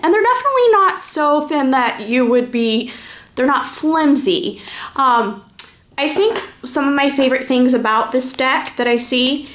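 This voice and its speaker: A woman's voice: high-pitched, expressive, unhurried, a bit bright. A woman talking casually and informally as she gives a first-impressions review, thinking aloud as she goes. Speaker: reviewer presenting a card deck.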